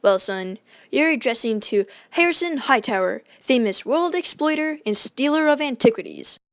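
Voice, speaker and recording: A woman playing a proud, hot-tempered gentleman in a radio drama, formal and combative in manner. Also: high-pitched, animated, moderate pace, no echo, studio quality. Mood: sarcastic.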